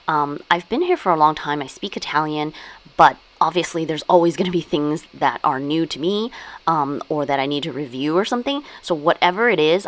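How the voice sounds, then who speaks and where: Chatty low-voiced woman vlogger. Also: a bit bright, poor audio. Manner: chatty, many "like" and "um" fillers. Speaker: stationery vlogger. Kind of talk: casual show-and-tell chat.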